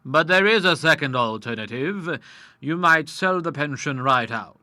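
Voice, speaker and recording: A man's voice: a little high, animated, normal speed, sharp tone, no echo. A man doing a polished old lawyer. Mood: genteel. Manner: genteel, drops Latin phrases. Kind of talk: audiobook character voice.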